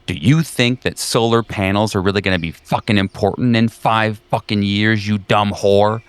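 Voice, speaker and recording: A man voicing an enraged, foul-mouthed woman nurse who shouts and curses at strangers in a tabletop horror game. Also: fairly low pitch, steady tone, normal speed, clean tone, no echo, lightly acted. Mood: angry ranting. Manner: shouting, cursing.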